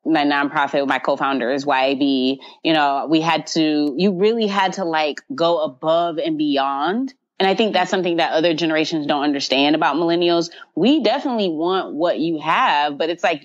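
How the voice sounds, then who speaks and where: A woman's voice: low voice, lo-fi sound. A woman in her twenties, a podcast guest talking casually and confidently, with frequent "you know" fillers and easy laughs. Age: 20s. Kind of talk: casual conversational interview.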